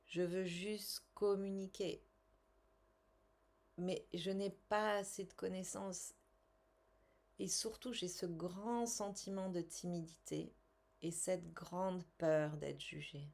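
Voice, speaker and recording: Female coach for guided tapping exercise: slightly low pitch, very slow delivery, measured instructions with pauses. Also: steady tone, vocal fry, darkish tone. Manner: measured, instructional, with pauses between short phrases. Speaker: tapping coach and language teacher.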